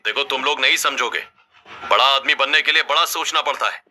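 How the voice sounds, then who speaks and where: A man's voice: mid-range voice, a little fast, pushed and bright, slight background noise. A man playing an ambitious, stubborn eldest son, self-assured and insistent, in a family story. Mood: confident. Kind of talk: Hindi moral family story dialogue.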